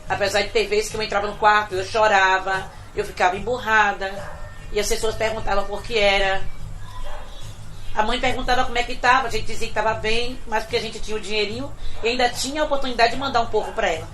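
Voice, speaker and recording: A middle-aged woman from Bahia, a domestic worker, giving an earnest, reflective personal testimony that often trails off quietly. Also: mid-range voice, fairly even intonation, fast talker, a little hiss or hum. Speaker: domestic worker telling her story.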